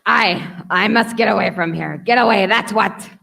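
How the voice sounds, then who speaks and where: A woman playing a gruff, desperate, rum-craving old male sea captain in a radio play, with a gravelly voice. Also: mid-range voice, moderate pace, pushed and bright, heavily acted. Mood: weak, desperate.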